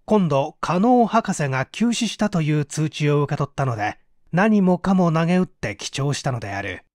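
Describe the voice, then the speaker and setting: Male storyteller for mystery audiobook: measured, expressive, wide intonation, dry recording. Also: fairly high pitch, no echo. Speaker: audiobook reader. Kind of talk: scripted storytelling narration of a Japanese mystery novel.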